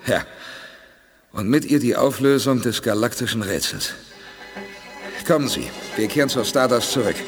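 An actor playing a calm, decisive male space commander who keeps reassuring his crew in a radio drama. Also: medium pitch, moderate pace, pauses often, natural voice. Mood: steady. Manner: steady, reassuring, takes charge. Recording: loud music bed behind the voice.

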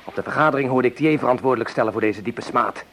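An actor playing a young, hot-headed French revolutionary in a radio play, with an impassioned, scornful way of speaking. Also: male voice, mid-range voice, fast talker, fairly bright tone, natural voice. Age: young adult. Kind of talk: Dutch radio play acting. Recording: noisy old radio play recording.

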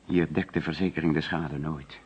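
A man's voice: extremely low pitch, fairly even intonation, moderate pace, slightly rough. An actor playing an anxious, defensive insurance agent under suspicion in a radio play, hesitant and flustered as he protests. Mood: agitated, protesting. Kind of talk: Dutch radio play acting. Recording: dull, lo-fi old radio recording.

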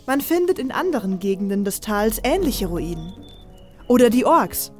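A woman's voice: a little high, very animated, normal speed. A woman playing a blunt, direct female fighter in a fantasy audio drama. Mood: thoughtful. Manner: direct, scolding, argumentative. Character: blunt, sharp-tongued female fighter and friend. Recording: music under the voice.

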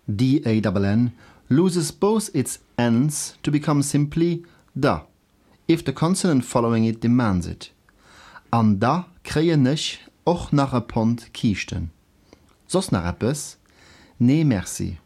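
Male language teacher, scripted delivery, mid-range pitch, very varied intonation, deliberate enunciation of examples. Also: a little mellow. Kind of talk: scripted language lesson, English explanations with Luxembourgish examples.

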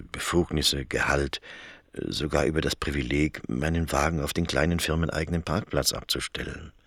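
A weary, embittered man confessing in a radio monologue. The voice is extremely deep, gravelly and husky. His delivery is sober and measured. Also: fairly bright tone, dry recording, studio quality, full character voice.

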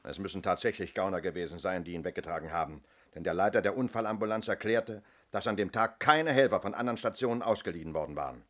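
An actor playing a senior police detective in a 1970s German radio play, curt, sardonic and commanding. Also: male voice, deep voice, fast, fairly bright tone. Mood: brusque, dry. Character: brusque, dry senior police detective, impatient boss.

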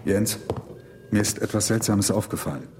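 An actor playing a thoughtful, concerned scientist in a radio drama, earnest and precise in speech. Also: male voice, lowish voice, moderate pace, a little mellow, a little hiss or hum, natural voice. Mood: puzzled, concerned.

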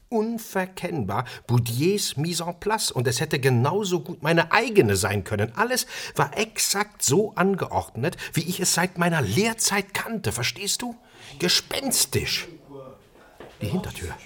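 An actor playing an intense, brooding chef turned amateur sleuth, telling his story with expressive drama in a radio crime play. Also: on the high side. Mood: tense, hushed.

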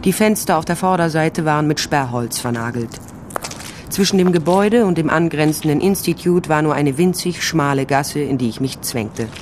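A woman's voice: low-pitched, moderate pace, background noise, natural voice. An actress playing a tough, sly private investigator, narrating her case tersely and dryly in a crime radio drama. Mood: tense, terse.

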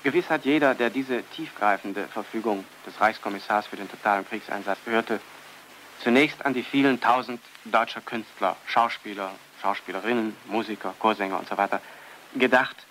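Sharp, declamatory 1940s male reporter. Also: fairly low pitch, moderate pace, low-quality recording. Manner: formal, declamatory. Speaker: radio reporter. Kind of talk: 1940s propaganda radio reportage. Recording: hissy 1940s radio archive recording.

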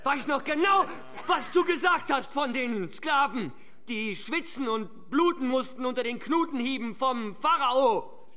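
Strident, high-pitched male agitator. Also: expressive, a little slow, pushed and bright, exaggerated voice. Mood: rousing, angry. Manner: declamatory, sarcastic. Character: scornful village rabble-rouser opposing the dike master. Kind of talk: radio drama oratory.

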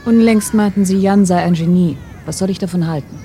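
A woman's voice: fairly low pitch, fairly quick, mellow, a little hiss or hum, natural voice. An actress playing a sceptical, guarded woman in a radio drama, speaking formally and questioningly. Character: guarded, sceptical woman, girlfriend of a test subject. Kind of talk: 1990s German radio drama acting.

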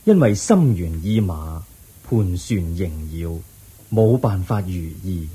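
A man's voice: low voice, animated, heavily acted. A man playing the Buddha, patiently teaching an official in a Buddhist radio drama. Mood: serene. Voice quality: husky, dark, unhurried. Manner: gentle, patient preaching. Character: calm wise male Buddha teaching an official.

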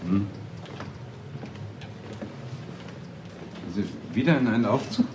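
A man's voice: fairly low pitch, expressive, fast talker, lightly acted. An actor playing a curious but cautious male professor in a German radio play, speaking tentatively. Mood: wary. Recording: echoey room, some background noise.

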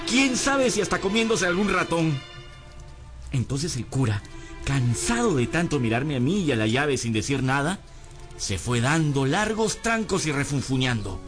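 A man's voice: a little high, very animated, normal speed, a bit bright, soft background music. An actor playing a battered, hapless young servant rogue who tells his own story wryly in a radio drama. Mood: rueful, wry. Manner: wry storytelling, whimpering and stammering when cornered. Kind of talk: dramatised first-person narration in radio drama.

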